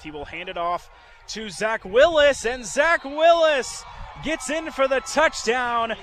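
High-pitched man calling football play-by-play. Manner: excitable, builds from steady calls to shouts. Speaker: radio play-by-play football commentator. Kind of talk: live sports radio play-by-play commentary. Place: outdoor football stadium. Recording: noisy live outdoor stadium broadcast.

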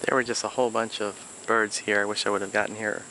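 A man's voice: fairly low pitch, level tone, quick pace. A man filming himself on a swamp hike, chatting casually about the plants and wildlife he passes, with frequent ums and uhs. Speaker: hiker filming his walk. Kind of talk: casual narrated hike vlog. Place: outdoors in a swampy Florida wetland. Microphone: handheld or body camera, unseen speaker. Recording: outdoor camera audio with nature noise. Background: insects and crickets in open nature.

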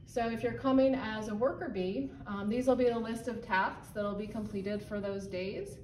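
A woman's voice: mid-range voice, fairly even intonation, normal speed, a little mellow, slight background noise. A woman in her thirties explaining a board to volunteers in a barn office, pointing as she talks, with frequent ums. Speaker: volunteer trainer. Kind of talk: instructional walkthrough. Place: indoor barn office with a wood-paneled wall. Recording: roomy, slightly distant sound with faint ambience.

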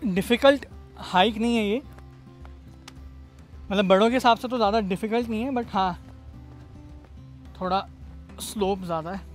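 A man's voice: high-pitched, normal speed, pauses often, soft background music. Chatty man vlogging on a trail. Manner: chatty, explains as he walks. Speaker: travel vlogger. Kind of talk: casual travel vlog narration. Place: outdoors on a forest canyon trail. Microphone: handheld phone at arm's length.

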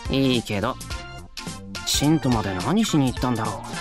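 A woman's voice: a bass voice, animated, normal speed. Flustered teenage boy, visual novel, swooping intonation, casual, faint music behind. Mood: casual, musing. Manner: casual boyish speech, stammering when embarrassed.

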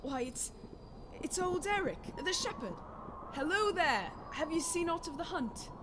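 A bold horseman in a radio play, acted by a woman, impatient and commanding. The voice is high and swoops widely, over loud ambient effects. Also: sing-song, unhurried, background noise, heavily acted. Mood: uneasy, commanding. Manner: commanding, exclamatory. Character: bold, impatient horseman urging his frightened companion on. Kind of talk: radio drama acting.

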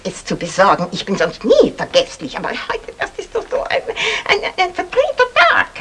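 A woman's voice: high-pitched, huge pitch swings, moderate pace, a bit bright, breathy edge, soft background music, exaggerated voice. An actress playing a chatty, flustered, lonely neighbour woman, over-polite and hesitant, in a German radio comedy. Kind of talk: German radio crime comedy acting, 1960s. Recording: noisy old 1960 radio recording.

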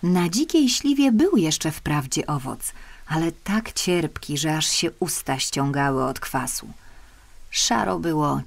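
A woman's voice: low voice, gravelly. A woman narrating a children's audiobook, telling the scripted story expressively.

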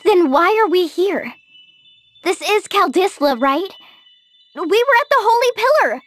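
A woman's voice: very high voice, lively intonation, unhurried, dry recording. A woman playing a sweet, anxious young girl in a fantasy video game, delicate and earnest in manner.

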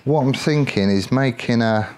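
A man with an English accent giving casual, off-the-cuff workshop commentary as a motorcycle builder, chatty and colloquial, thinking out loud. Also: mid-range voice, moderate pace, clean tone.

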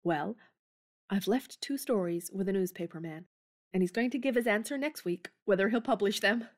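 A woman's voice: medium pitch, moderate pace, natural voice. A headstrong young woman full of big ambitions, as an actress plays her in an audio drama. Her voice sits in a middle register. She speaks forthrightly and with emphasis. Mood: indignant. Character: spirited tomboyish young woman writer, bold and restless. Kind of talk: theatrical audio drama acting.

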